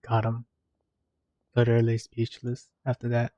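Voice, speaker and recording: A man's voice: medium pitch, completely flat delivery, moderate pace, mellow, dry recording, dull audio. A man giving casual commentary while playing through a game's story. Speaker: gamer reacting to story quests.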